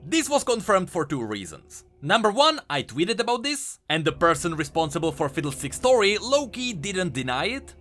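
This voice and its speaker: A man's voice: high voice, bright, forceful tone. A man giving enthusiastic, emphatic scripted commentary as the host of a video game lore channel. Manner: emphatic, explanatory. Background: music.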